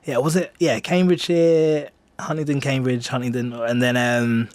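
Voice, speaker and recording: A man in his twenties with an English accent talks in a casual, self-deprecating way, like a musician being interviewed. His pitch is average and he often hesitates and rambles. The sound is dry, with little room in it. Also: flat delivery, normal speed, a little mellow, dry recording. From England. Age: 20s. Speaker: singer-songwriter being interviewed. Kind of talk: casual radio interview chat. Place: radio studio.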